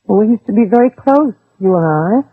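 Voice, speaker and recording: A woman's voice: medium pitch, expressive, fairly quick, dry recording, narrow, tinny audio. An actress playing a brave, protective mother in a 1960s radio drama, pleading earnestly and persuasively with an armed man. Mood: tender, earnest. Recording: old band-limited radio recording, husky, dry.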